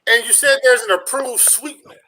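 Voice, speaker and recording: A man's voice: very high voice, fairly quick, pushed and bright, a little hiss or hum, low-quality recording. An American man from the audience asking questions in a casual, chatty way. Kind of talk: casual chat.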